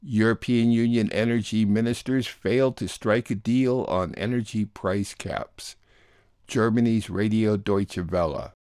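A man hosts a listener-funded radio program, reading his links in a steady, measured way. His voice sits low and is recorded dry and close, with no room sound around it. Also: no room sound. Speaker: radio show host. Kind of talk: plainly read radio introductions.

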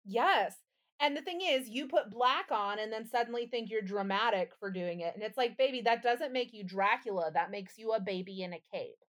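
A woman's voice: on the high side, normal speed, fairly bright tone. A woman co-hosting a podcast in casual banter, with a wry, quippy way of talking. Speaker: podcast co-host.

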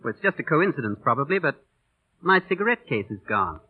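Courteous songwriter, suave, lightly amused, lively pitch, radio play, narrow vintage broadcast sound. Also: male voice, brisk, husky. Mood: puzzled. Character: courteous, easygoing well-to-do gentleman songwriter. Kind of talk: 1930s-40s radio drama acting. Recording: tinny, band-limited vintage radio broadcast.

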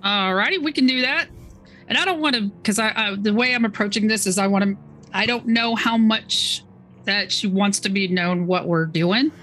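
A woman playing a tabletop game, chatty and quick with asides. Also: medium pitch, a bit bright, faint music behind. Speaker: player. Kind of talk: casual tabletop play.

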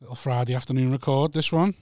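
A man's voice: medium pitch, fast talker, dark tone, no echo, clean studio sound. A man cohosting a podcast, chatting in a jokey way and swearing casually. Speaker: podcast co-host.

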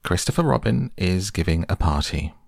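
A man's voice: sits low, expressive, normal speed, gravelly, dry recording. A fussy, pompous owl from a storybook, played by a male narrator. The delivery is emphatic and impatient, a little sulky. The sound is close and dry. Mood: fussy, insistent.

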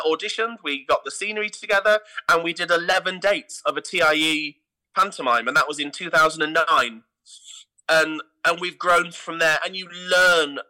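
A middle-aged English man with a very bright, forward voice that sits fairly high. He tells anecdotes as an interview guest, leaning on key words and repeating them for emphasis. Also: rough recording. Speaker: theatre company artistic director, interview guest. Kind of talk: animated anecdotal interview talk.

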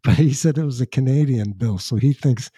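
Older man, raspy, husky, mellow tone, dry sound, rambling storyteller. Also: medium pitch, brisk, no room sound. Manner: rambling anecdotes with dry asides. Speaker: podcast co-host. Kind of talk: casual chat, storytelling.

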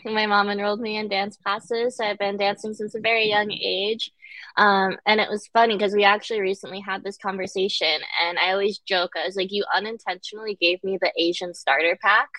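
A young woman from Connecticut, a guest in a casual interview, talking relaxed with many fillers and easy laughs. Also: mid-range voice, brisk, dry recording, poor audio. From United States, Connecticut. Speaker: guest, director and dancer.

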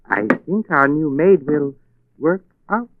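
An actor playing a smooth, scheming murderous husband with unctuous, hesitant courtesy in a 1940s radio drama. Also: male voice, fairly high pitch, expressive, slow, background noise. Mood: smooth, evasive. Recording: old phone-like 1940s radio recording.

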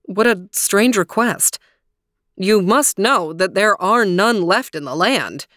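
A woman voicing a polite, well-mannered gentleman stranger in a storybook, with a courteous, measured delivery. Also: medium pitch, animated, no room sound, clean studio sound. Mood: puzzled. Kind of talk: storybook character voice.

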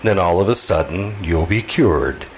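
An actor playing a sinister, sardonic all-knowing radio mystery narrator, mocking and deliberate. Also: male voice, sits low, lively intonation, a little hiss or hum. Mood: mocking satisfaction.

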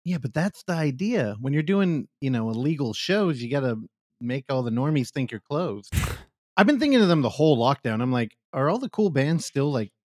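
A man co-hosting a podcast, making wry deadpan jokes in casual chat. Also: medium pitch, moderate pace, dry recording, studio quality. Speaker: podcast co-host.

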